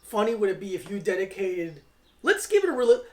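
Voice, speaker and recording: Teasing young man, lively pitch. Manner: teasing, playful, quick to laugh. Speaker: podcast co-host. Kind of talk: casual podcast banter.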